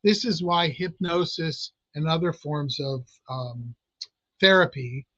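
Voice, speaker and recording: A man's voice: a little high, slow pace, a bit bright, no room sound, dull audio. A man, a clinical hypnotist, explaining his work in a casual interview, with frequent fillers and restarts. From Italian American family.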